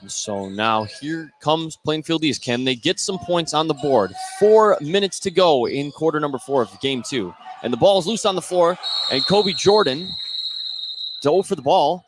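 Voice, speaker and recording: A man's voice: a little high, animated, moderate pace. A man calling live basketball play-by-play for a radio broadcast. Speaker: play-by-play announcer. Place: indoor basketball gym, live game. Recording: noisy live gym broadcast.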